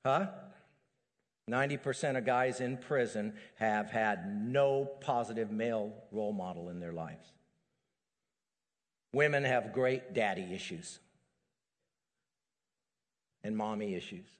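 A middle-aged man preaching a sermon as a pastor, animated and building to emphatic peaks. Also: fairly low pitch, slow pace, long pauses. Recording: long hall reverb.

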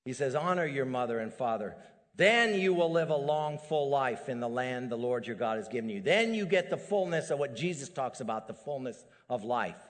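A middle-aged man preaching a sermon as a pastor, animated and building to emphatic peaks. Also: medium pitch, moderate pace. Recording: long hall reverb.

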